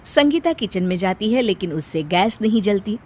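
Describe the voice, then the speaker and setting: A woman's voice: mid-range voice, lively intonation, moderate pace. A woman storyteller reading a scripted family tale as narration.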